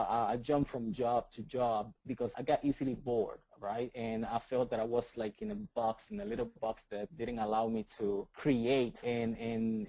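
Puerto Rican-accented man, mellow dry voice. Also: medium pitch, gravelly, no room sound, rough recording. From Puerto Rico. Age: 30s. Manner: enthusiastic, repeats words, many "right?" tags. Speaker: guest. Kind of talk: casual interview talk.

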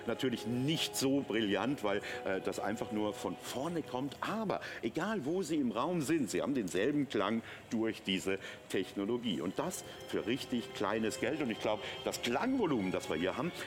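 A man's voice: mid-range voice, animated, moderate pace, hardly any pauses, music in the background. A middle-aged man presenting products on a shopping channel in an unscripted, conversational, explanatory way. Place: TV shopping studio set, indoors.

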